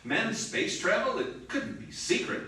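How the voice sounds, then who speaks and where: An actor playing a commanding, sceptical spaceship captain in a sci-fi radio drama, barking orders and stammering when baffled. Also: male voice, sits high, very animated, measured pace, sharp tone, exaggerated voice. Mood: firm, commanding. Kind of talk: old-time sci-fi radio drama acting. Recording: roomy, distant, clearly noisy recording.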